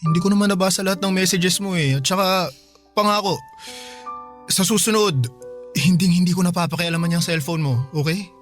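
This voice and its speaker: An actor playing an apologetic, questioning young boyfriend in a radio drama, with a Filipino accent. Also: male voice, high-pitched, a little fast, a bit bright. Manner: questioning, placating. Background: faint music.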